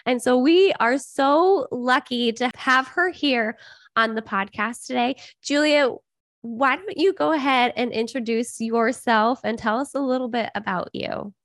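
A woman's voice: fairly high pitch, measured pace, a bit bright, dry recording, studio quality. A young woman hosting a podcast, bubbly and chatty, her voice scratchy from a cold. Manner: bubbly, addresses listeners as "you guys". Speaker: podcast host. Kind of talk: upbeat podcast chat.

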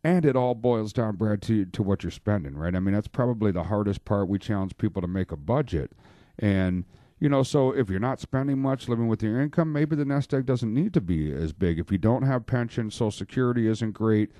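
A man's voice: lowish voice, a little fast, mellow, no echo, studio quality. A man hosting a radio talk show about money, chatty and joking, with lots of fillers. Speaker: radio show host, financial planner. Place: radio studio. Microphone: studio microphone.

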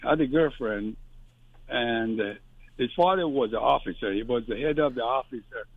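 An older man from Iran calling in to a radio show, talking earnestly and haltingly, often repeating himself. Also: mid-range voice, dry recording. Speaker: caller. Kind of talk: call-in radio talk. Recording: tinny phone line.

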